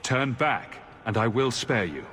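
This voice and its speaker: Resolute fantasy hero: questioning, steady, mid register, video game drama, echoing chamber. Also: male voice, mid-range voice, a little slow, soft background music. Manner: firm, questioning. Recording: long hall reverb.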